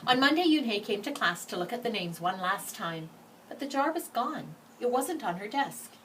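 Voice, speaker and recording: A woman reads a children's story aloud with deliberate, emphatic phrasing. Her melody is very lively and varied. The mic is slightly distant, with faint noise behind her. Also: a little high. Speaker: storybook reader. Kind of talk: expressive children's read-aloud narration.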